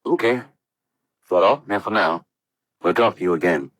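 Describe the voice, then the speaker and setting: An actor playing a brisk, probing police lieutenant, asking terse, firm questions in 1950s radio drama style. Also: male voice, deep voice, a bit bright, no echo.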